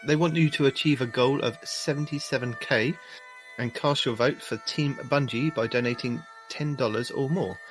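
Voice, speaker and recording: A man co-hosting a gaming podcast, reading announcements in a plain, steady way. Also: medium pitch, normal speed, soft background music. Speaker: podcast co-host.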